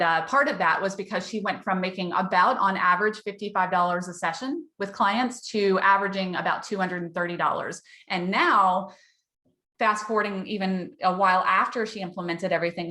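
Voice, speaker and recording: A woman's voice: medium pitch, pushed and bright, echoey room. A woman hosting a casual webinar for dog trainers, chatty and upbeat as she tells client success stories, her voice wearing out. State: losing her voice. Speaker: dog trainer business coach, webinar host.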